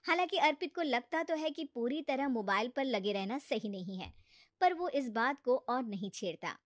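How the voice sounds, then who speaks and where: A woman narrates a children's story from a script. Her voice sits high, and the recording is dry with very little room sound. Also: animated, normal speed, no room sound.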